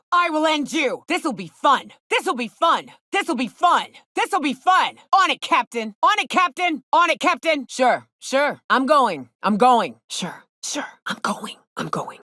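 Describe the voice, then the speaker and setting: An actress playing a gruff, hard-drinking, gun-toting female spaceship crew companion in a video game, with a blunt, folksy manner. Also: on the high side, bright, forceful tone, no room sound, studio quality, heavily acted. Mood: battle-ready, then hushed. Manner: blunt, folksy, sardonic, swearing. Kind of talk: video game character dialogue.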